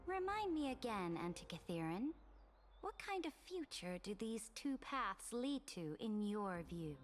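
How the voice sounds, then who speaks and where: An actress playing an imperious, amused young empress in a video game, speaking in a regal, measured and haughty way. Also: female voice, mid-range voice, sing-song, soft background music. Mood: cool, decisive. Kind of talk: dramatic video game voice acting.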